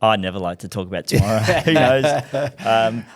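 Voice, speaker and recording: Chatty older Scottish-born man, lowish voice. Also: animated, a little fast, no echo. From Scotland. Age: 60s. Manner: chatty, self-deprecating, laughs often. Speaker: guest, commercial director. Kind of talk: casual podcast interview.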